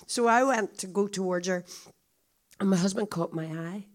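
A woman with a croaky, hoarse voice, a visiting speaker telling a story to a congregation in a chatty, confiding way. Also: mid-range voice, normal speed. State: hoarse, coughing.

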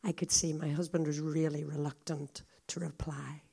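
A woman with a croaky, hoarse voice, a visiting speaker telling a story to a congregation in a chatty, confiding way. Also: low-pitched, little pitch movement, moderate pace, warm tone. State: hoarse, coughing.

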